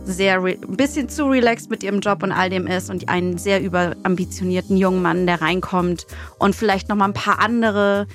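A woman's voice: medium pitch, a little fast, hardly any pauses, faint music behind. A woman with a German accent, a co-host, chatting casually as she brainstorms story ideas. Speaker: co-host and aspiring radio play writer.

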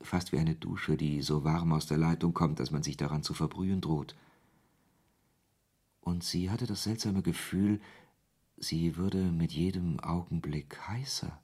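A man's voice: low-pitched, measured pace, darkish tone, full character voice. A man reading scripted literary narration as the narrator of a radio play. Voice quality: husky.

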